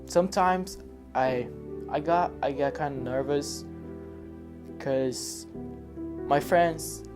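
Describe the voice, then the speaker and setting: A man from Brazil, an exchange student talking haltingly in a documentary interview. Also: a little high, over music. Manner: hesitant, many fillers and restarts.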